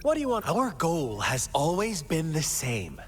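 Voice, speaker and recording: An actor playing a sinister, mocking cult overseer in a video game, speaking in a theatrical, smugly courteous way. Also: male voice, fairly high pitch, huge pitch swings, a little slow, big-room sound, soft background music. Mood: smug menace. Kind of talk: dramatic video game voice acting.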